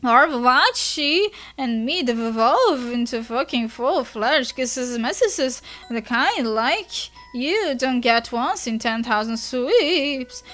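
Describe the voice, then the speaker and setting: A woman's voice: sits high, animated, slow, few pauses, a bit bright, soft background music, heavily acted. A woman voicing a brash, hostile young male troll who talks in a profane, pushy, sneering way. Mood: belligerent.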